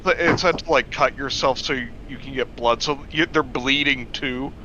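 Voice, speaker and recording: A man's voice: medium pitch, normal speed, dull audio, background noise. A man bantering casually and jokingly as a podcast co-host.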